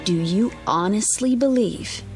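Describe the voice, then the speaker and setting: A woman's voice: mid-range voice, unhurried, close to an everyday voice. An actress playing an affectionate, practical young honeymooning wife in a radio drama, coaxing and reasoning with her husband. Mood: exasperated. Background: music under the voice.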